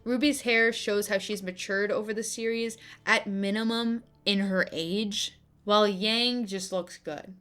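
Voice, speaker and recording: A young woman delivering opinionated, scripted video-essay commentary with casual asides, in an expressive, emphatic manner. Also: medium pitch, measured pace. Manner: expressive, emphatic, gushing and griping. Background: background music.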